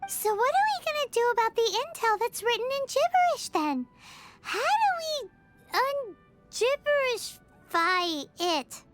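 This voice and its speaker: Tiny fairy sidekick, extremely high squeaky childlike voice, animated swings, naive, dramatic, game cutscene over music. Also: female voice, measured pace, full character voice. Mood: naive wonder. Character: tiny excitable childlike female fairy companion. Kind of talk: video game character acting.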